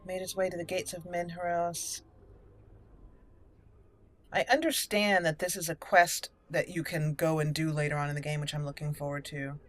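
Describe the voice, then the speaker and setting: A woman's voice: sits low, little pitch movement, normal speed. A woman hosting a gameplay video, speaking her character's lines aloud and adding her own commentary. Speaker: gameplay host. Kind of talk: let's play commentary with in-character roleplay. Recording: music behind the voice. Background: game music.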